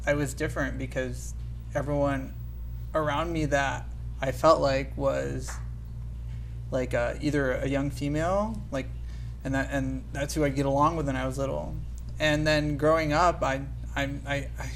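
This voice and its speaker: A man's voice: medium pitch, fairly even intonation, a little slow, creaky, a little hiss or hum. A young man born in Lebanon whose first language is Arabic, speaking as a guest in an interview, earnest and often restarting his sentences. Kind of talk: casual interview talk.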